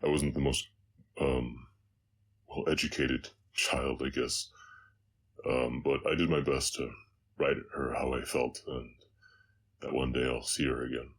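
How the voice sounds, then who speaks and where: Male actor for haunted, soft-hearted orc cowboy, audio drama. Rumbling bass, unhurried and hesitant, slight Southern US accent. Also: level tone, slight background noise. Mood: somber, tender. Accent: slight Southern American. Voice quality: very deep. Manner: halting, hesitant, reflective. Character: gruff, gentle orc cowboy, haunted by his past. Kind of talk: slow intimate audio roleplay monologue.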